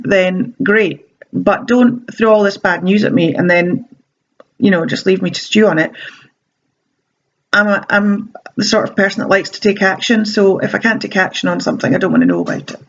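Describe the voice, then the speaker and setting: A woman's voice: medium pitch, lively intonation, moderate pace, lo-fi sound. A woman with a slight sore throat giving casual self-help advice, chatty and meandering with frequent ums and restarts. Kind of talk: casual talking-to-camera advice.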